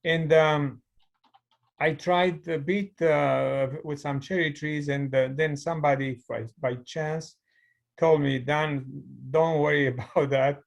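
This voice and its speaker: A man's voice: a little high, steady tone, takes it slowly. A middle-aged man from Romania with a Romanian accent, telling his story as an interview guest over a video call in a thoughtful way. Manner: thoughtful, storytelling with light laughs. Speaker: guest, mechanical engineer. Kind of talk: conversational interview.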